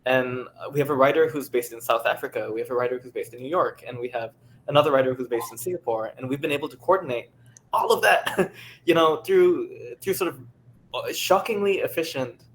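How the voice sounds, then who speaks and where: A man's voice: mid-range voice, a little fast, rough recording. A man speaking earnestly and upbeat as a producer on a casual panel discussion. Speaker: audio drama producer and panelist. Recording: faint background noise.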